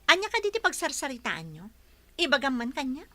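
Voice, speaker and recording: Demanding female boss, suspicious, interrogating, Ilocano accent, bright edge, swooping pitch, radio drama. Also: wildly swooping pitch, a little fast, no echo, heavily acted. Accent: Filipino, Ilocano. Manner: interrogating, imperious. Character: suspicious, demanding employer and fiancée.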